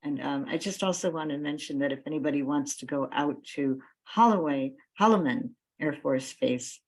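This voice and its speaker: A woman's voice: sits low, normal speed, poor audio. A woman giving encouraging, appreciative informal remarks as a guest speaker in an online meeting. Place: remote computer call. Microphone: computer video call.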